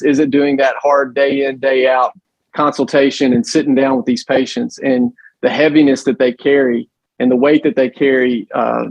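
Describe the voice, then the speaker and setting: Loud emphatic man with a Southern accent. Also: mid-range voice, dry recording, low-quality recording. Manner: emphatic, frequent restarts and fillers. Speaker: guest, pregnancy center director. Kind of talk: conversational interview.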